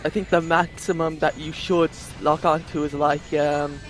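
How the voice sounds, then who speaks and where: A speaker chatting casually as a co-commentator on a video game, in an excitable, rambling way. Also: medium pitch, moderate pace. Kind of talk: casual gameplay chat. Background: video game music.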